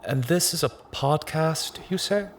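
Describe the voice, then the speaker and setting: A man's voice: a little high, animated, a little slow, a little hiss or hum. A man playing a wary, wry artist and former site foreman in an audio drama. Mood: guarded. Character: Michael Ramsey, guarded male artist, former site foreman.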